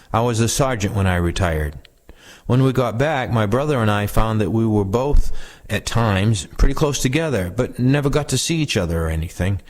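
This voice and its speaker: A man reading first-person war memoirs aloud in a plain, conversational way. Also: fairly low pitch. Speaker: reader of veterans' oral histories.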